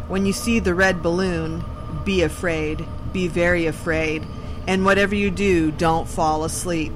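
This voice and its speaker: A woman hosting a horror radio show, moving between spooky scripted lines and casual chat. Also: fairly low pitch. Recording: clear background noise. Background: ambient noise.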